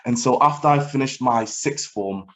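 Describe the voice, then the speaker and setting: A man in his early twenties giving a personal testimony as a guest speaker, earnest and reflective in a measured storytelling way. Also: medium pitch, fairly bright tone, slight background noise. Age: early 20s. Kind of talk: personal testimony in a public talk.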